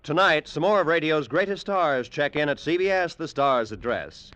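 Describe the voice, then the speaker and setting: A man's voice: a little high, animated, moderate pace, loud music behind the voice. A man giving a polished, promotional 1940s network radio announcement.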